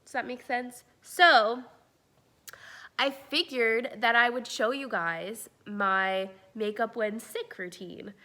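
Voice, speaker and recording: A young woman in her twenties with a cold, chatting casually through a makeup tutorial at home and sometimes whispering softly. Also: on the high side, slow. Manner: chatty, drops to soft whispers while applying products. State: congested with a cold. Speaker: beauty vlogger. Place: small room at home, indoors. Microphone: camera in front at close range.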